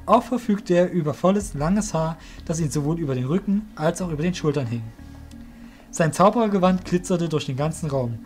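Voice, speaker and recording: A man's voice: a little high, a little mellow. A man narrating a fantasy audio drama as a scripted storyteller.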